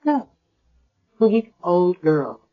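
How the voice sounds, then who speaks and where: A woman's voice: on the low side, very animated, extremely slow, full character voice. An actress playing a self-assured female hospital doctor with dry, pointed retorts in a 1970s radio drama. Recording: dull old radio broadcast.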